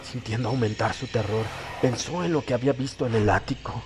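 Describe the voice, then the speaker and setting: A man giving a dramatic, suspenseful narration of a horror audiobook, with deliberate, theatrical phrasing. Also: medium pitch. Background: music.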